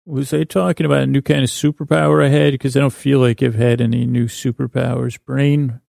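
Male bedtime podcast host: creaky, flat intonation, meandering, halting, self-deprecating, meant to lull. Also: medium pitch, no room sound, studio quality. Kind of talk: rambling bedtime-story podcast monologue.

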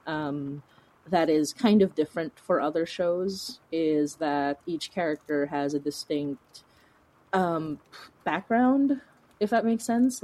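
A woman's voice: low-pitched, darkish tone. A woman talking casually as an interview guest, informal and chatty, thinking aloud as she goes. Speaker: interview guest, fiction podcast creator.

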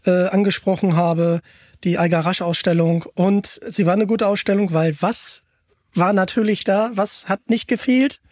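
A man's voice: high-pitched, steady tone, moderate pace, darkish tone, no room sound, clean studio sound. A man hosting a casual fan podcast, chatting in an enthusiastic way. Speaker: podcast host.

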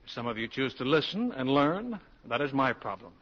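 An actor playing a brisk, self-assured male English professor with dry wit and articulate, formal delivery, in 1940s radio style. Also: mid-range voice, big pitch swings, slightly rough. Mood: wry, commanding. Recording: dull, noisy 1940s radio broadcast.

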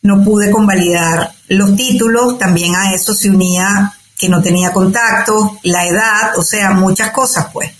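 An older woman with a Venezuelan Spanish accent talks as an interview guest, pausing often to find her words and restarting phrases. Her voice sits at a middle pitch and carries the echo of a roomy space. A faint hiss runs underneath. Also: steady tone. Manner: thoughtful, hesitant, many fillers and restarts. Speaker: guest, astrologer. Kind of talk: conversational interview.

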